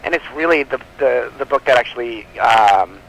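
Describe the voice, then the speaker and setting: A man's voice: medium pitch, lively intonation, normal speed, creaky, a bit bright, rough recording. A man talking at length in a casual radio interview as a guest, in a rambling, chatty way. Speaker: guest, comic book publisher.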